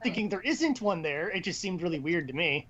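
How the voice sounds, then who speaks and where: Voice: medium pitch, animated, a little fast, a bit bright. A person talking casually and informally as a co-host on a gaming stream, opinionated and mildly profane. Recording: dull lo-fi voice-chat audio.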